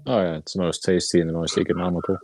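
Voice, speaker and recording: A man's voice: sits low, fairly even intonation, very fast, a little mellow, no echo. A man co-hosting a podcast, talking casually as if among friends. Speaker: podcast co-host.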